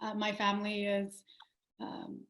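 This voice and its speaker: Founder speaking in a roomy echo. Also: female voice, mid-range voice, monotone, measured pace, a bit bright. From Pakistan. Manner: thoughtful, with many fillers and restarts. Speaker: company founder and CEO. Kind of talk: conversational interview answers.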